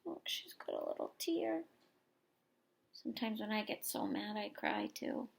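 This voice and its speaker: A woman teacher reading a picture book aloud expressively to young children, pausing for explanations and questions. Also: medium pitch, expressive, vocal fry. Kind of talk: expressive children's story read-aloud with asides to listeners.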